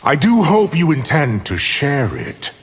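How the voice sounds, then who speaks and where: A man's voice: fairly high pitch, very animated, a little slow. A man playing a scheming, power-hungry sorcerer villain in a fighting game, speaking with condescending menace. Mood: sly, menacing. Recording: long reverb, loud background noise.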